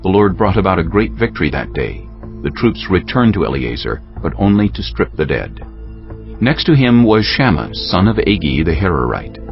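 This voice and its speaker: A man narrating dramatized scripture as a solemn, measured storyteller. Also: low-pitched, very animated, highs cut off, faint music behind.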